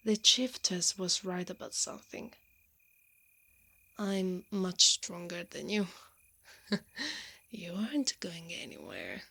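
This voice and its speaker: A woman playing a brave, protective female knight in an intimate ASMR roleplay, softly and often in a breathy whisper. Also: lowish voice, expressive, exaggerated voice. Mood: teasing, dominant. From Italy. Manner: slow, intimate, hushed delivery.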